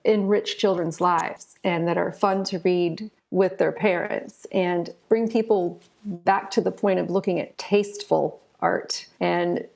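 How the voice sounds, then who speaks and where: A woman's voice: on the low side, steady tone, unhurried, vocal fry, darkish tone. A middle-aged American woman answering interview questions in a chatty, informal way, telling stories as she explains. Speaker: interview guest, children's author and illustrator. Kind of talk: conversational interview answers, storytelling.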